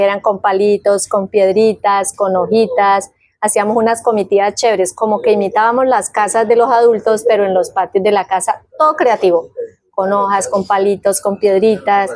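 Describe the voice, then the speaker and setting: A woman's voice: mid-range voice, darkish tone, no echo. A woman in her fifties from Guainía with a Colombian accent, casually recalling her life in an interview, telling it vividly with diminutives and sound words. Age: 50s. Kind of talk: casual personal recollection.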